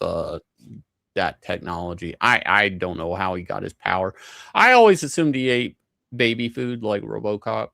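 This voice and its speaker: A man's voice: deep voice, vocal fry, a bit bright, no room sound, studio quality. A male co-commentator chatting casually and explaining game lore in a slangy, explanatory way. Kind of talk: casual chat.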